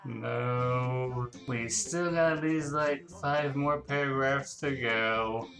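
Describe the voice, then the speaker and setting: A man's voice: mid-range voice, very slow, over music. A man giving a casual dramatic reading of fan fiction, breaking off for self-mocking, theatrical asides.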